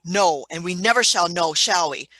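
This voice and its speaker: A woman's voice: lowish voice, lively intonation, moderate pace, a bit bright. A woman playing an obsessive, imperious scientist in a radio play reading, commanding and impatient.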